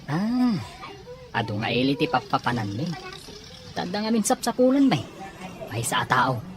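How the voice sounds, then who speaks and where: A woman's voice: a bass voice, very animated, normal speed, music in the background. A woman playing a probing man on a search in an audio drama, with a noisy background. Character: probing man searching for someone, offering money. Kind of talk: amateur audio drama acting. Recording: noisy, with ambient background sound.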